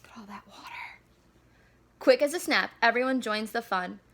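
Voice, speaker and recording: A woman reading a children's storybook aloud in an animated, deliberate storyteller delivery. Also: on the high side, slight background noise. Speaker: school staff member reading aloud.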